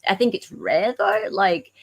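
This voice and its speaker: A woman with an Australian accent co-hosting a casual discussion of legal emails, explaining things in a halting, self-correcting way. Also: mid-range voice, lively intonation, vocal fry. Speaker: podcast co-host.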